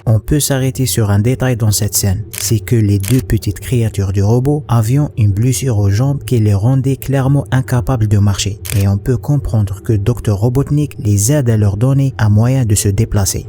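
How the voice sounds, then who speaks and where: Male narrator over clear background noise. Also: fairly low pitch, normal speed, few pauses, warm tone. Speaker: video narrator. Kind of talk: scripted explanatory narration of horror videos.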